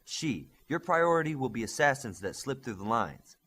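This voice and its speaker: A man's voice: medium pitch, very animated, normal speed, fairly bright tone. A man voicing a young, earnest superhero squad leader in an audiobook, giving orders in an emphatic briefing style. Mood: firm, instructive. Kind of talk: audiobook character dialogue.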